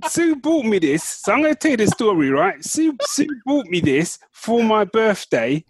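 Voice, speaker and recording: A man's voice: sits high, big pitch swings, moderate pace, slightly rough, no echo. A British man co-hosting a podcast in casual, playful, slangy and teasing banter. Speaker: podcast co-host.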